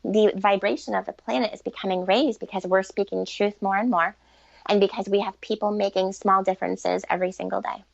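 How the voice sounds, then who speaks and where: Woman, mid-pitched, vocal fry, earnest explainer, emphatic repetition, dry lo-fi recording. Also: fairly even intonation, brisk, no echo. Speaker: podcast guest, author and relationship expert. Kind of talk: conversational spiritual interview talk.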